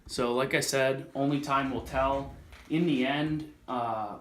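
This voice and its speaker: Male product reviewer, medium pitch, casual and unscripted, thinks out loud, recorded in an echoey space. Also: fairly even intonation, takes it slowly, a little hiss or hum. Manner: casual, hesitant, thinking aloud.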